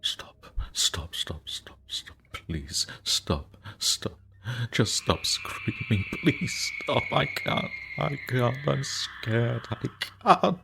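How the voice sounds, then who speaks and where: A man acting out a terrified, exhausted man who whispers, pleads and breaks into frantic shouts as he writes his journal in a horror story. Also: deep voice, steady tone, slow pace, long pauses, noisy background. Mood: pleading. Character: haunted guilt-ridden man writing a journal.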